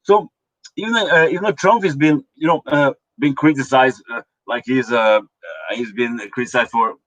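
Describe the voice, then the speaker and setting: Male host, halting and filler-heavy, dry recording. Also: mid-range voice, fairly bright tone, no echo, highs cut off. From Punjab, India. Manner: hesitant, many fillers and restarts. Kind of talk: casual commentary.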